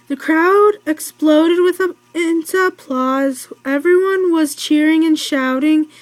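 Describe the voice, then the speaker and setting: A young girl reading a children's storybook aloud in a halting way, stumbling over words and correcting herself. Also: high voice. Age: child or teen, in school. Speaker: read-aloud narrator.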